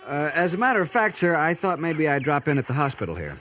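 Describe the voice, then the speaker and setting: Young cavalry lieutenant, eager and easily flustered, animated inflection, noisy vintage radio drama recording. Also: male voice, a little high, fast talker. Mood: deferential. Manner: deferential, hesitant. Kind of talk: 1950s radio drama acting.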